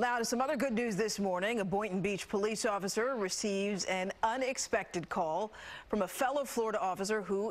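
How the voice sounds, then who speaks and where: An American woman works as a television news anchor. She reads scripted stories in a middle-pitched voice, presenting them in newscast style.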